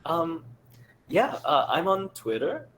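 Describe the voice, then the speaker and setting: A man's voice: a little high, sing-song, slow, fairly bright tone. A man speaking casually and hesitantly as a panelist, with some faint noise.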